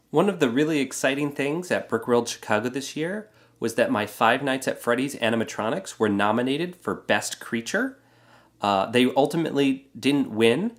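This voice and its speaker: A man with a stuffy, congested voice from a cold, hosting a casual how-to building tutorial and explaining it in a relaxed way with frequent filler words. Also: mid-range voice. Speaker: Lego builder and channel host.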